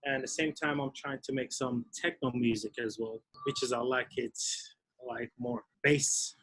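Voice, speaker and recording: A young man from Turkey with a Turkish accent, speaking English as a second language in a video-call interview about his DJ and producing work, often pausing to search for words. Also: medium pitch, steady tone, fairly bright tone, slightly rough, poor audio. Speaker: DJ and music producer.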